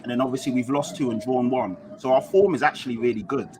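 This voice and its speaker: A man's voice: mid-range voice, fast. A man with a London accent, a guest football fan chatting casually and making his points in emphatic slang. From London, England. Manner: slangy, explanatory, emphatic repetition. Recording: noisy online call.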